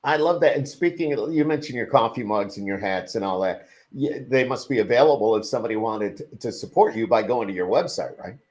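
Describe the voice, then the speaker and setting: A middle-aged man hosting a podcast, chatting casually, rambling and quick to praise his guest. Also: mid-range voice, animated, darkish tone. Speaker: podcast host. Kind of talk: casual interview chat. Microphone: podcast microphone.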